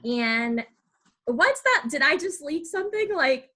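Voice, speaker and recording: A woman's voice: high voice, big pitch swings, a little slow, bright, forceful tone. A young woman with an American accent, hosting a casual interview in a chatty, encouraging way. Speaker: podcast host.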